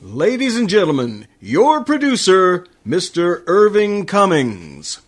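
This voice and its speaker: A man's voice: high-pitched, wildly swooping pitch, takes it slowly. A man announcing a scripted old-time radio show in a formal showman's manner.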